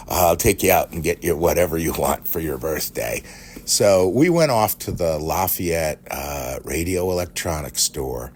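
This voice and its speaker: Middle-aged American male, extremely low and creaky, rambling storyteller with a halting conversational delivery. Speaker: podcast storyteller. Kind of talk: casual, rambling reminiscence. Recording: faint background noise.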